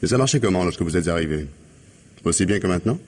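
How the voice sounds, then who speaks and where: A man's voice: low-pitched, lively intonation, fast, darkish tone. A man playing an ironic, insinuating police inspector who questions with needling gallantry in a radio mystery drama. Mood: probing. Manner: sardonic, insinuating questioning with polite irony.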